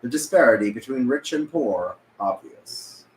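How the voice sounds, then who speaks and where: A man's voice: medium pitch, expressive, normal speed. A man reading stage directions at a script table read, occasionally stumbling and correcting himself. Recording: echoey room with background noise.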